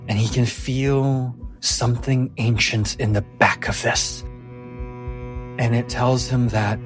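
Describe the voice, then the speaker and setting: A man narrating a tabletop fantasy game as game master, with deliberate, suspenseful phrasing and dramatic pauses. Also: fairly low pitch. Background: music.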